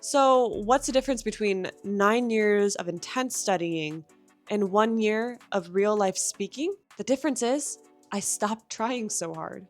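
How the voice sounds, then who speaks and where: A woman's voice: mid-range voice, a little slow, soft background music. A young adult woman who teaches English, giving encouraging, direct language-learning advice in a scripted lesson.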